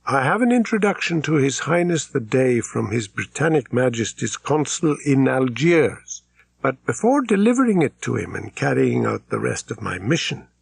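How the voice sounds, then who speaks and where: A man's voice: mid-range voice, vocal fry, breathy edge, dry recording, heavily acted. A man voicing a polite diplomatic envoy, formal, careful and deferential, in an audiobook.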